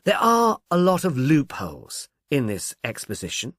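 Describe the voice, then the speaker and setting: Man performing sceptical police officer, grudging, precise, exasperated, very varied pitch, dry recording. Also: wildly swooping pitch, moderate pace, fairly bright tone, no echo, heavily acted. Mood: complaining.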